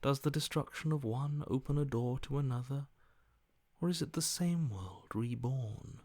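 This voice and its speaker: A man's voice: mid-range voice, normal speed, a little mellow, no echo, lightly acted. A man voicing a cold, amoral voice inside someone's head in a video game, detached, matter-of-fact and dryly sardonic. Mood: detached, calculating. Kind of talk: video game character acting.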